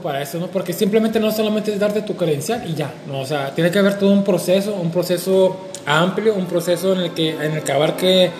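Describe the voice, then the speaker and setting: A man co-hosting a casual panel discussion, hesitant and searching for words, with dry jokes. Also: high-pitched. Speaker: co-host. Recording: echoey room with music behind.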